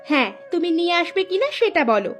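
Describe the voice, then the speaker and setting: A woman's voice: very high-pitched, big pitch swings, a little fast. A woman voicing a demanding, quarrelsome young village wife in a cartoon, with a complaining manner, music behind her. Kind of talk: Bangla cartoon dialogue.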